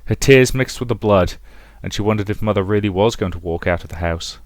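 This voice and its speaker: A man's voice: on the low side, fairly quick, no room sound, clean studio sound. A man hosting a let's play, narrating a visual novel as a dramatic story. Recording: music behind the voice.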